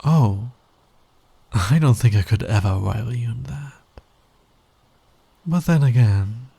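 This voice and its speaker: Flirtatious fantasy villain , male actor, dark mellow voice with gravelly fry, sly and dominant, close intimate ASMR audio drama. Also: on the low side, no room sound, exaggerated voice. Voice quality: breathy, husky, often dropping to a whisper. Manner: slow, teasing, deliberate delivery.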